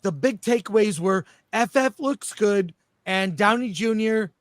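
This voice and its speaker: A man hosting a casual panel chat, telling things in an enthusiastic, halting way. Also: high-pitched, no room sound. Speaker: host.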